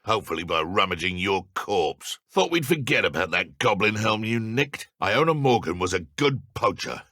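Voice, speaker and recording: Game villain: gruff British dark wizard poacher, gravelly, sneering, wide melodic swings, threatening. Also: male voice, sits low, no echo, clean studio sound, full character voice. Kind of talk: video game combat voice lines.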